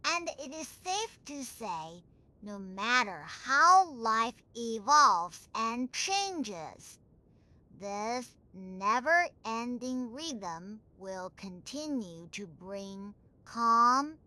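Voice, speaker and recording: A woman narrating a scripted bedtime sleep story in a soothing, measured, unhurried manner. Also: fairly high pitch, sharp tone.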